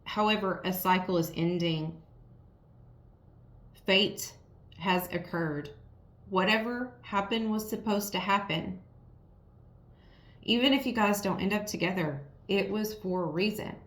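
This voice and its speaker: A woman with a raspy, worn voice giving a casual tarot reading, talking frankly and straight to the viewer. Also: medium pitch, measured pace, long pauses, a bit bright. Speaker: tarot reader. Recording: roomy echo.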